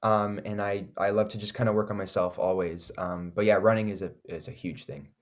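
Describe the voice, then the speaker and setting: Male music artist, Canadian accent, lowish pitch, casual and enthusiastic interview delivery with loose, searching phrasing. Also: fairly even intonation, fairly quick. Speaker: recording artist, interview guest.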